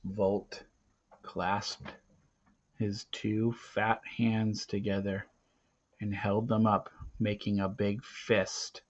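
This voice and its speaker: A man narrating a storybook aloud theatrically, with dramatic pauses and swings between hushed and loud delivery. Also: lowish voice, level tone, muffled recording.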